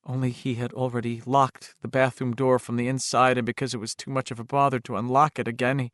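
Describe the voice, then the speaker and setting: A man reading horror fiction as a dramatic, expressive audiobook narrator, with a suspenseful delivery. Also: mid-range voice, fast talker, dry recording, studio quality.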